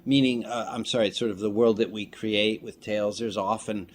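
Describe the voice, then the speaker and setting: Male interviewee, lowish voice, reflective and halting conversational delivery, background ambience audible. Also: fairly even intonation. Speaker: filmmaker and actor being interviewed. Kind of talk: casual interview discussion. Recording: clearly noisy room ambience.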